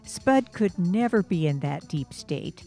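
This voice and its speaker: A woman's voice: on the low side, lively intonation, slow, warm tone, soft background music. A woman playing a scolding, dryly mocking aunt in a radio comedy. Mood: chiding. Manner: scolding, dry.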